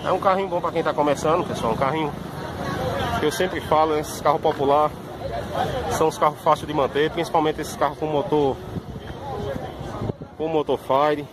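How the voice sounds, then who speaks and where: Male car vlogger, fairly high voice, chatty informal walkaround, outdoor lot noise. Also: steady tone, normal speed, pauses often. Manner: chatty, informal, repeats "pessoal". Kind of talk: casual walkaround commentary. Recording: outdoor street noise behind. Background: traffic and crowd ambience.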